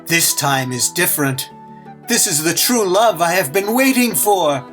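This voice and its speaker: A woman's voice: on the low side, lively intonation, measured pace, soft background music. A woman playing a vain, lovesick young man in an audio drama, melodramatic and overwrought. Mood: enraptured. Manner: pleading, overwrought.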